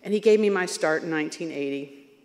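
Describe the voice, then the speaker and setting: Halting older woman at a podium. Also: sits low, darkish tone. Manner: halting, humble, many fillers. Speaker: award recipient. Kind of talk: acceptance speech. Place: large banquet hall stage. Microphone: podium microphone. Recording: long hall reverb.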